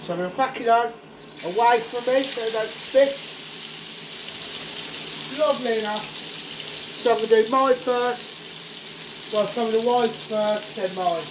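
A man's voice: very high voice, unhurried, long pauses. A man hosting a casual home cooking show, chatting to viewers as he works at the stove. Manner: chatty, keeps saying "guys", working class speech. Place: home kitchen at the stove, frying sounds. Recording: noisy home kitchen with sizzling.

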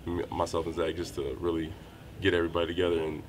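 A young man answering questions at a postgame press interview, speaking in a low-key, measured way with frequent fillers. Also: completely flat delivery, slight background noise. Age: young adult. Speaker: college basketball player. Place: small indoor room by a wall. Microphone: handheld reporter mics held close.

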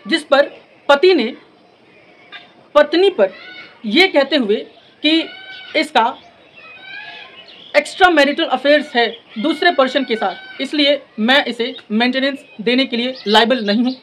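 A man teaches in a notably high voice. He lectures deliberately, phrase by phrase, mixing in English legal terms and repeating points for emphasis. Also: fairly bright tone, slight background noise. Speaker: law lecturer. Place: in front of a classroom blackboard. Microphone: lapel mic.

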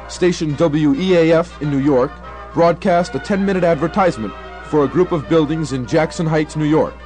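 A man's voice: on the high side, darkish tone. A young American man reading scripted documentary narration. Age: young adult.

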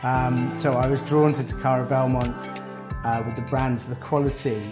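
A man's voice: medium pitch, flat delivery. Earnest man, barbershop interview, music behind. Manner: reflective, earnest, with pauses and fillers. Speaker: barbershop co-owner. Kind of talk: interview for a promotional video. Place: indoors in a barbershop. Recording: background music clearly heard.

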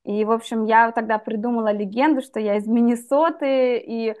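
A woman's voice: fairly high pitch, steady tone, moderate pace, darkish tone. A young woman from Russia telling her own story as a guest over a video call, candid and reflective. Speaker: guest, English teacher. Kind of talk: personal story in interview. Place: dim room indoors. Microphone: video call webcam.

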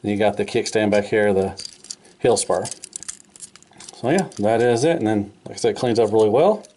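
A man's voice: on the low side, normal speed, warm tone, a little hiss or hum. A man giving a casual, hands-on toy review in an informal, folksy way, repeating his phrases.

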